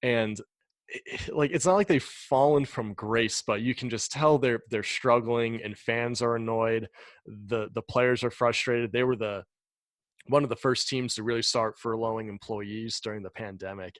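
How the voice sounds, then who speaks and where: A man's voice: medium pitch, steady tone, normal speed, no room sound. A young man from the United States, hosting a podcast and chatting with his guest in a friendly, enthusiastic way. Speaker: podcast host. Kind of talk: casual interview chat.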